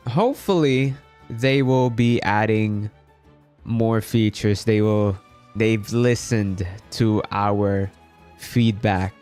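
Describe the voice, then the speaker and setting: A man's voice: lowish voice, darkish tone, smooth. Casual male game streamer, music behind him. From Philippines. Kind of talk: casual livestream chat.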